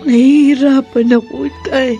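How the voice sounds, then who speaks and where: A woman's voice: high-pitched, level tone, a little slow, mellow, dull audio. Grieving young Filipino mother. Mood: tearful guilt. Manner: tearful, plaintive. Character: grieving, guilt-ridden young mother, wounded and sorrowful. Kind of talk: Filipino radio drama acting. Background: music.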